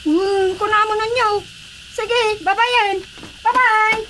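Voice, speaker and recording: A woman's voice: very high-pitched, fairly even intonation, takes it slowly, noisy background. A woman with an Ilocano accent acting a pleading, chatty village mother in a radio drama comedy. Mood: resigned, coaxing.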